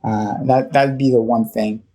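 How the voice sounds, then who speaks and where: A man from Southern California with a Californian accent, telling his personal story as an interview guest, halting and often pausing mid-phrase. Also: mid-range voice, steady tone, mellow. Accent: Californian American. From Southern California, United States. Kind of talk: reflective personal storytelling in conversation.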